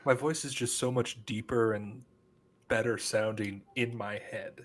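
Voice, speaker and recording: A man's voice: medium pitch, steady tone, measured pace. A man guesting on a casual podcast, joking and self-deprecating.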